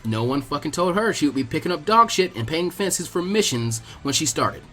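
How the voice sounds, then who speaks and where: A man reading fan fiction as animated scripted narration, switching into character voices. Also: fairly high pitch, fairly quick. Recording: music behind the reading.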